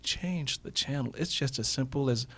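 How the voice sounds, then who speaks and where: A man's voice: medium pitch, normal speed, pushed and bright. A man speaking as a radio interview guest in a motivational style, emphatic and repeating phrases for effect. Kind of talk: motivational talk on a radio show.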